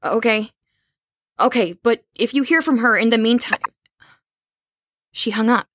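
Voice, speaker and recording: A woman's voice: fairly high pitch, unhurried, a bit bright, no room sound. Actress as determined young woman, dry sound. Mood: anxious. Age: young adult. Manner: anxious, insistent, direct. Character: worried, determined young woman searching for her roommate. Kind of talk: audio drama acting.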